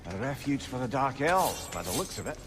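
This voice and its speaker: A man's voice: medium pitch, sing-song, fairly quick, lightly acted. An actor playing a talkative, wry and learned male companion in a video game, genial and inquisitive. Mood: wry, curious. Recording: clear ambient noise behind.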